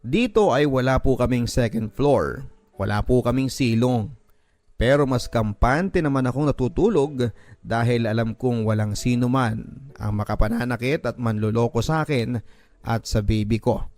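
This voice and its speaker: A man's voice: mid-range voice, moderate pace, a little mellow, lightly acted. A man voicing a betrayed, bitter young mother in a dramatic, emphatic radio drama narration. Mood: bitter, resigned. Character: betrayed young mother, wronged and bitter, telling her story. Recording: music under the voice.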